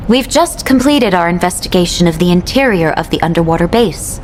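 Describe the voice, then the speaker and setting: A woman's voice: medium pitch, natural voice. A woman voicing a headquarters operator giving the player an official mission briefing in a video game. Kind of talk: scripted game dialogue.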